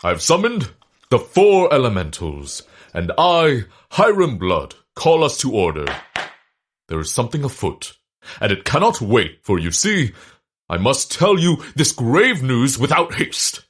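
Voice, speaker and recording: An actor playing a pompous, self-important eccentric scientist in a comedic audio drama, declaiming grandly in a husky voice. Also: male voice, mid-range voice, wildly swooping pitch, a little slow, full character voice. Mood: officious.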